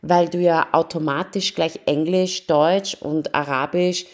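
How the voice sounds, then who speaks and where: A woman's voice: low-pitched, fairly even intonation, unhurried. A woman, interviewing a guest and explaining ideas about language teaching. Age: 30s. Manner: explanatory, lecturing on multilingual teaching. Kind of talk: casual interview.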